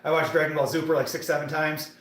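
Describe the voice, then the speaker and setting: Livestream fitness host, man in his thirties, slightly high voice, loose hyped chat, roomy echo. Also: fairly even intonation, fast talker, a bit bright. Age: 30s. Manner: chatty, hyped, talks to viewers in the chat. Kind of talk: casual live-stream chat while working out.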